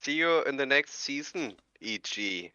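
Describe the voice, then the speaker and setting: Man, mid pitch, bright tone, informal gamer storytelling, dull lo-fi voice chat. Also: animated, unhurried, clear, resonant tone, no echo. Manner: informal, non-native phrasing. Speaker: competitive gaming team player being interviewed. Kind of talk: casual storytelling in post-match interview.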